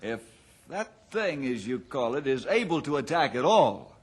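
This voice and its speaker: Skeptical ranger in charge for radio adventure: measured, folksy, lively intonation, husky edge. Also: male voice, mid-range voice. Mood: skeptical, firm. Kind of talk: old radio adventure drama acting.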